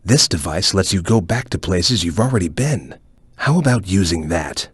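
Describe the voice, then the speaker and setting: A man playing a calm, serious ninja chameleon in a video game, speaking in a measured, stoic and focused way with a low, husky voice. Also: dry recording, studio quality, exaggerated voice. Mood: calm, instructive. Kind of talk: video game voice lines, hints and tutorial instructions.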